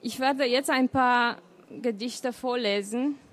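A middle-aged woman whose first language is Romanian, with a Romanian accent, reading her poems in a deliberate, emotional way. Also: on the high side. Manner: slow, deliberate, emotional recitation. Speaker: poet and author. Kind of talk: poetry reading.

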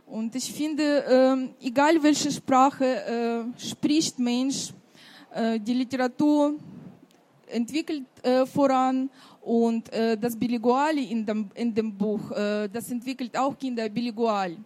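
Eine Frau in ihren Fünfzigern aus der Ukraine mit russischem Akzent, Muttersprache Russisch, die zögernd und mit vielen Füllwörtern ihr Kinderbuch auf einer Buchmesse vorstellt. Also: female voice, on the high side, fairly even intonation, a little mellow. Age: 50s. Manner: halting, many fillers, non-native grammar. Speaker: children's author. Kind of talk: book fair presentation.

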